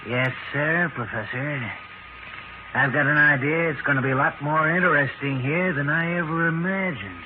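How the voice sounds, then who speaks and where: A man's voice: on the high side, moderate pace, lightly acted. An actor playing a brash, slangy hired hand in a 1940s radio drama, cocky and blunt in his talk. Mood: eager. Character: brash, pushy, slangy young hired hand, male, scheming. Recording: noisy, tinny 1940s radio recording.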